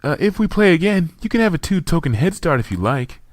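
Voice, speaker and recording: A man's voice: on the high side, big pitch swings, fairly quick, no echo, pitched above the performer's own voice. A man voicing a shy, fretful female pegasus who speaks timidly and hesitantly.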